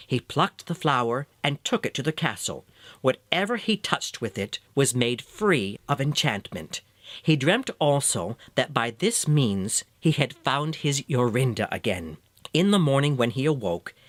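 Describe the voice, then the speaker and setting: A male storyteller reads a fairy tale with measured, expressive delivery. His pitch is medium and swings widely from phrase to phrase. The recording is close and dry. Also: no room sound. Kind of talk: scripted fairy tale narration.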